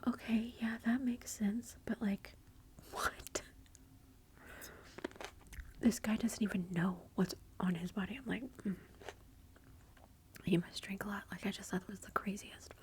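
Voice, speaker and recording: A woman's voice: on the low side, a little hiss or hum. A woman, whispering a rambling, amused story in ASMR style. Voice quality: soft whisper. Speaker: ASMR vlogger.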